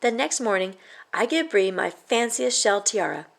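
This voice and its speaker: A woman reading a children's picture book aloud in an expressive, animated storytelling way. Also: mid-range voice, moderate pace, creaky, slight background noise. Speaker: storybook reader.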